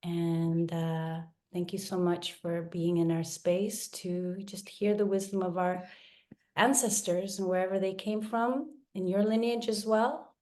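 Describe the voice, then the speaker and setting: A woman whose first language is Tagalog, leading an online spiritual gathering in a slow, soft, reflective way, with pauses and restarts, heard over a video call. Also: fairly low pitch, fairly even intonation. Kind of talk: guided meditation talk.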